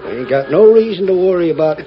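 A man's voice: sits high, animated, fast. A man playing a sly cattle-rustling cowhand with American cowboy dialect in a 1940s radio western. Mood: smug, scheming. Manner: folksy cowboy dialect, scheming. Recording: muffled, noisy old radio broadcast.

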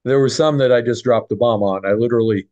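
A man's voice: mid-range voice, quick pace, mellow, dry recording. A middle-aged man hosts a video call from his home, his mid-range voice picked up close and dry. He restarts his sentences often and leans on homespun sayings. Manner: repeats words, restarts, folksy sayings. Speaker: clinic host. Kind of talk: casual video call chat. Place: home room. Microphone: video call webcam.

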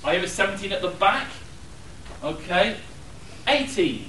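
A man running a charity auction with jokey, quick patter, calling out bids to a crowd. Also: high voice, slight background noise. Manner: lively, self-mocking, rattles off bids. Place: hotel function room at a convention. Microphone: far room camera. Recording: echoey room, distant camera.

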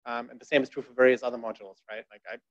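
A man's voice: mid-range voice, level tone, racing. Casual man giving a meetup talk. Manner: conversational, many fillers, wry asides. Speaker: conference speaker. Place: dark presentation room. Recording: dull lo-fi room recording.

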